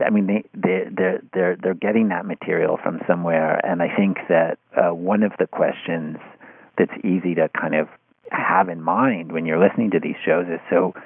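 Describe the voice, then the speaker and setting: Guy being interviewed over a phoney narrow line, husky, halting, analytical. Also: low-pitched, no room sound. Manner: halting, circling back over phrases. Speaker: interview guest. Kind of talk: analytical conversation.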